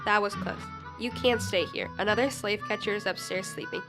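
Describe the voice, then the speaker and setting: Casting: female student as cautious Underground Railroad helper, mid-pitched, school radio play over music. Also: fairly quick, lightly acted. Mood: urgent, cautious. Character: cautious helper of runaway slaves. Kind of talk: school radio drama reading.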